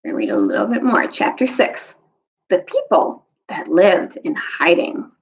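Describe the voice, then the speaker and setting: A woman's voice: on the low side, very animated, measured pace. A woman reading a story aloud expressively, on a slightly muffled recording. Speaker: storytime reader. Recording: slightly dull, muffled audio.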